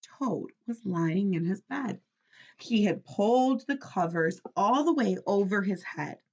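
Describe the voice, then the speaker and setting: Expressive, unhurried woman reading to children. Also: medium pitch, highs cut off. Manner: expressive, instructive, checking understanding. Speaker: teacher reading aloud. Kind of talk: children's read-aloud with questions to listeners.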